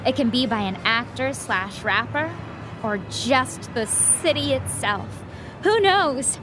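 An actress playing a starry-eyed young newcomer to the city, gushing in a dreamy sitcom-style monologue. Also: female voice, fairly high pitch, animated, takes it slowly. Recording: prominent music bed.

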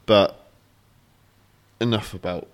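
A young man with a fairly low voice co-hosts a casual podcast. He talks in an easygoing way and likes to tease. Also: steady tone, slow pace. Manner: laid-back, playfully mocking. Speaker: podcast co-host. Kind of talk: casual chat.

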